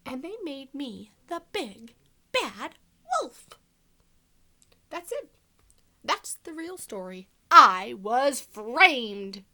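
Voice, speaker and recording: A woman's voice: high voice, big pitch swings, very slow, pauses often, sharp tone, exaggerated voice. A woman voicing a self-justifying storybook wolf who pleads his innocence in an exaggerated, mock-innocent way. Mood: aggrieved, pleading. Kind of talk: animated children's storybook read-aloud.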